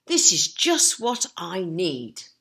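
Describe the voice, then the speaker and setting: A woman voicing a sensible young male pig from a storybook, speaking in a deliberate, emphatic way. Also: fairly low pitch, huge pitch swings, vocal fry, bright, forceful tone, pitched above the performer's own voice, heavily acted. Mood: earnest doubt.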